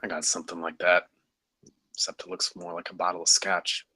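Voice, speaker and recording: A man reading the part of a gruff, wry, grumbling theme-park designer at a screenplay table read, with a husky voice. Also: deep voice, level tone, fairly quick, pushed and bright, no echo, heavily acted. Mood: wry, rueful.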